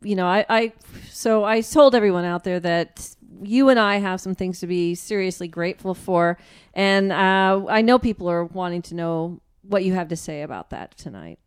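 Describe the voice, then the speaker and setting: A woman's voice: lowish voice, normal speed, clear, resonant tone. A woman co-hosting a show in casual, conversational chat. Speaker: co-host.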